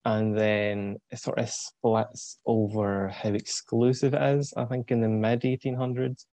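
A young man in his twenties with a Scottish accent, a guest on an interview, explaining things thoughtfully with frequent pauses and ums. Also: medium pitch, flat delivery, dark tone, no echo. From Scotland. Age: 20s. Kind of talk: casual explanatory talk.

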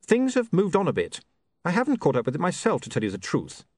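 A man's voice: on the high side, huge pitch swings, fast, a little mellow, slightly rough, dry recording, pitched above the performer's own voice, heavily acted. A man voicing a flustered, guilty young newspaper man with a hesitant, apologetic manner in an audiobook. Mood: awkward, reassuring.

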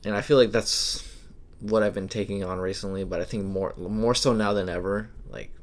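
A young man co-hosting a casual podcast, speaking slowly and haltingly with many pauses. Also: lowish voice, fairly even intonation. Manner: slow, halting, soft-spoken with many pauses. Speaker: podcast co-host.

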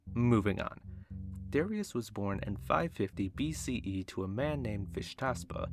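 A man's voice: fairly low pitch, vocal fry. A man hosting a podcast, narrating history from a script with wry, jokey asides. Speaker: podcast host. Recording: music bed behind the voice. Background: guitar music.

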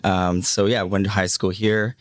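Low-voiced man telling his story haltingly. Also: flat delivery, no echo. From Hong Kong. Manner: halting, full of "um" and "you know", easygoing. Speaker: podcast guest, media entrepreneur. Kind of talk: casual life-story interview.

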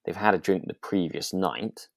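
A man's voice: sits low, moderate pace, vocal fry, no room sound, studio quality. A man co-hosting a podcast in a casual style, tentatively thinking aloud as he talks. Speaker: podcast co-host. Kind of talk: relaxed casual discussion of a radio drama.

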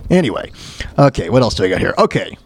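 A man hosting a talk show, giving blunt, emphatic opinions on news clips in a halting, repetitive, rambling way. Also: on the low side, sing-song, creaky. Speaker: show host.

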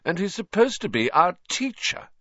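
A haughty teenage bully from a storybook, put on by a man, sneering and derisive. His mocking lines swing widely in pitch. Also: wildly swooping pitch, measured pace, vocal fry, husky, no room sound, highs cut off, full character voice.

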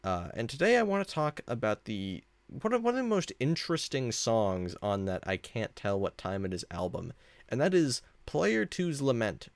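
A man with a deep voice hosting a casual solo podcast, talking in a rambling, conversational and self-deprecating way. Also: sing-song, moderate pace, no room sound. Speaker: podcast host.

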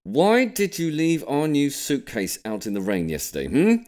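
A man's voice: on the high side, huge pitch swings, normal speed, darkish tone, voice pushed up. A man voicing a grumpy, exacting middle-aged father in an audiobook, indignant and emphatic. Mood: indignant scolding. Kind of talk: audiobook character voice.

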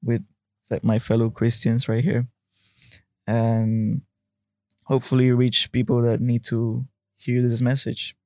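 A young man co-hosting a faith podcast, speaking in a measured way with pauses. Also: mid-range voice, monotone, mellow, no echo. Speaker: podcast co-host. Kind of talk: casual chat.

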